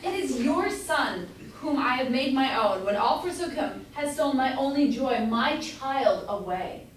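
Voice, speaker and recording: A woman's voice: sits high, lively intonation, unhurried. Aggrieved elderly merchant played by a woman, light voice, declamatory and indignant stage comedy, very roomy sound. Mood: indignant, mournful. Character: aggrieved old merchant father, scolding and lamenting. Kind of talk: amateur staged reading of Jacobean comedy. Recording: roomy, distant mic, faint noise.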